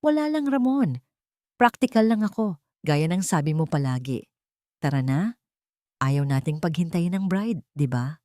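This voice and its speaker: A woman's voice: low voice, expressive, unhurried, pauses often, warm tone, no echo, clean studio sound. A woman playing a betrayed, controlled young woman. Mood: coldly composed.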